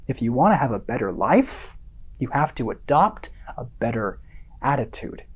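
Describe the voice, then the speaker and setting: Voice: mid-range voice, big pitch swings, breathy edge. An actor as a smug, theatrical, wide-swinging content creator. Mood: self-satisfied, preachy. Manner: condescending, theatrical, deliberate pauses. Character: smug, self-satisfied content creator preaching success and positivity. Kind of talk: dramatic radio monologue.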